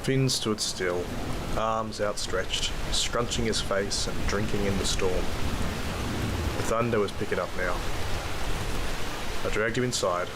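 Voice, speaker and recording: A man's voice: on the low side, slow pace, pauses often. A man with an Australian accent playing a weary, troubled father who narrates his grim working life in an audio drama. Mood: weary, brooding. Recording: very noisy track, rain and storm ambience.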